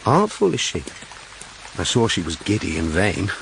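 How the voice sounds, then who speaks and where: A man's voice: low voice, huge pitch swings, moderate pace, vocal fry, full character voice. An actor playing a courteous, wry young country clergyman in a period audio drama. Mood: teasing. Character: gallant, teasing, earnest country clergyman. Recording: rain noise behind the voice.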